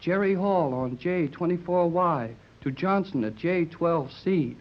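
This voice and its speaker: A man's voice: on the high side, lively intonation, measured pace. An actor playing an eager, brash American teenage boy in a 1930s radio serial, slangy and earnest. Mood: puzzled, excited. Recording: muffled, worn 1930s radio recording.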